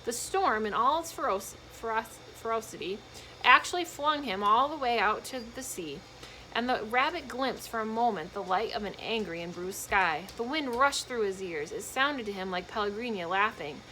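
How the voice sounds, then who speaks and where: A woman's voice: a little high, expressive, normal speed, bright, forceful tone, slight background noise, rough recording. A woman reading a children's storybook aloud expressively, with casual explanatory asides to young listeners.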